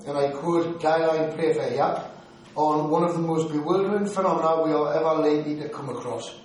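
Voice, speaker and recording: A man's voice: fairly high pitch, darkish tone, recorded from a distance, a little hiss or hum. A man from Newcastle with a strong Geordie accent, giving a prepared talk on a paranormal case to an audience. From Newcastle upon Tyne, England. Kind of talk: lecture to an audience. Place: lecture hall. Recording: very echoey hall, recorded from afar.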